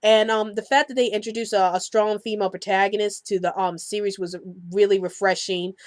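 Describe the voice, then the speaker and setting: A woman's voice: medium pitch, steady tone, a little fast, dry recording. A woman hosting a casual podcast discussion, speaking in a hesitant way with frequent fillers. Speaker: podcast host.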